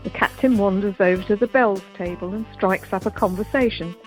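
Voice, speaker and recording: A woman narrates scripted stage directions for a radio drama. Her pitch is middling, with faint music around it. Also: a little fast.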